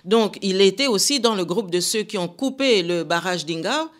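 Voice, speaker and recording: A woman's voice: medium pitch, expressive, normal speed. An older woman, a guest answering radio interview questions in a firm, indignant, emphatic way from a studio. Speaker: political guest.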